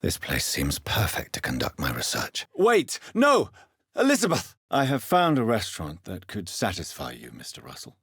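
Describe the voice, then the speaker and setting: A man with a British accent playing a grave, formal gentleman vampire doctor in a dramatic video game, husky-voiced and precise in his diction. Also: lowish voice, wildly swooping pitch, normal speed, dry recording, clean studio sound, exaggerated voice. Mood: crying out, shocked.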